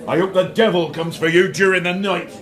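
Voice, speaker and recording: A man's voice: sits high, flat delivery, a little fast, background noise, natural voice. Surly tavern drinker, acted by a man. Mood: surly, belligerent. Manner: coarse, belligerent. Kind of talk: radio drama acting. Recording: busy tavern crowd behind.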